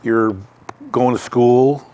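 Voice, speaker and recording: A man's voice: medium pitch, little pitch movement, dark tone, clear, resonant tone, muffled recording. A male interview host with a mid-range voice. He stops and starts as he asks his questions and often repeats words. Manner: halting, hesitant phrasing with repeated words.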